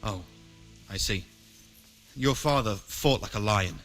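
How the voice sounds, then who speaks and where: A man's voice: on the low side, takes it slowly, fairly bright tone, soft background music. An actor playing a plain-spoken, fatherly castle man in a medieval video game, kindly, earnest and firm. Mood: consoling, firm.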